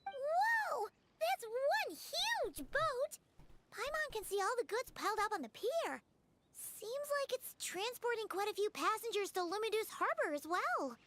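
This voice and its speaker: A woman voicing a tiny, excitable floating fairy companion in a game, squeaky and bubbly. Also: a little slow, no echo, full character voice. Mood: amazed. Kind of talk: game voice acting. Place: studio.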